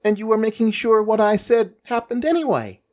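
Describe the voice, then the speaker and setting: Man putting on a high-pitched, earnest young boy. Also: animated, darkish tone. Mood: questioning. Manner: earnest, insistent, quick bursts. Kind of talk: audio fiction character voice.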